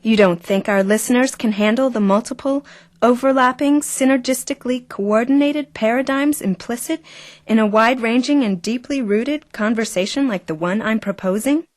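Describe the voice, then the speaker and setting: A woman's voice: on the high side, normal speed, dry recording, natural voice. Arrogant female clone for audio drama, played by an actress: seductive, condescending, verbose, dry close sound. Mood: scornful, taunting.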